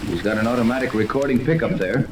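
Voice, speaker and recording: An actor playing a decisive, take-charge yacht captain in clipped, commanding 1930s radio serial style. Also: male voice, medium pitch, fast talker, lightly acted. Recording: noisy echoey 1930s radio transcription.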